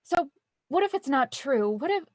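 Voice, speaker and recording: A woman playing an anxious, stubborn and wry young woman in a naturalistic audio drama, with halting, stammering speech. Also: high voice, big pitch swings, a little mellow, no room sound, studio quality. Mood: insistent. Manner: halting, stammering, rambling when nervous.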